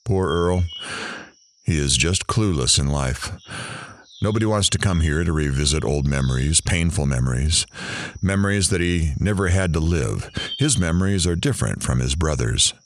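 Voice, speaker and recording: A man's voice: extremely low pitch, animated, moderate pace. A man playing a snide, impatient reporter who narrates an audio drama with mocking, conversational asides. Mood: pitying. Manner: sardonic, conversational, theatrical asides.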